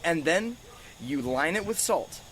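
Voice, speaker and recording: A man's voice: a little high, expressive, unhurried, a bit bright. A man hosting a podcast in casual, informal chat, telling stories with some swearing, recorded outdoors on a porch. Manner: informal, profane, anecdotal. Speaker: podcast host. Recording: outdoor ambient noise on a porch.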